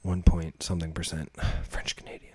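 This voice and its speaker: A man co-hosting a podcast in casual chat, with a joking, deadpan teasing manner. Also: sits low, brisk, slightly rough, no room sound. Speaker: podcast co-host. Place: indoor room shared with co-host.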